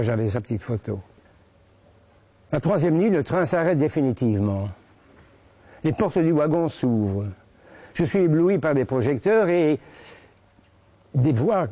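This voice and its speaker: An elderly man in his eighties with a Belgian French accent from Brussels gives spoken testimony. His voice is mellow and dark, and he talks haltingly, restarting sentences and repeating words as he goes. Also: mid-range voice. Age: 80s. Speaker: witness giving testimony. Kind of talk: first-person spoken recollection.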